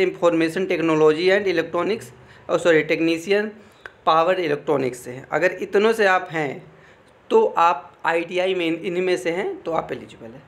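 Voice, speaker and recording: A man giving an informal explainer, reading out official text and commenting, often repeating phrases and adding check-in tags. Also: high voice. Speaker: exam information presenter.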